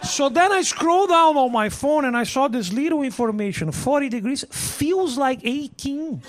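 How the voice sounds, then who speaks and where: A man's voice: extremely high pitch, expressive, moderate pace, some chatter behind. A man with a Brazilian accent performing stand-up comedy, emphatic and repeating his lines, swearing freely. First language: Portuguese. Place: live comedy show with audience. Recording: very noisy live stand-up recording. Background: loud noise, audience laughter.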